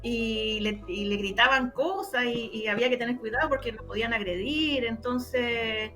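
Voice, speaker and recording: A woman's voice: a little high, normal speed, sharp tone, soft background music. A woman with a Chilean accent talking reflectively and expressively in an interview, often repeating words for emphasis. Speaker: interviewee, radio drama and audio story producer. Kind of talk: conversational interview.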